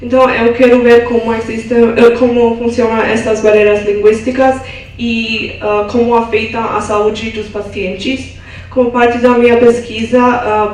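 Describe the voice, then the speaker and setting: A woman's voice: mid-range voice, a little mellow. A young woman, a student researcher, carefully delivers a prepared research presentation with some hesitation. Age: young adult. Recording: very echoey room, distant mic, faint noise.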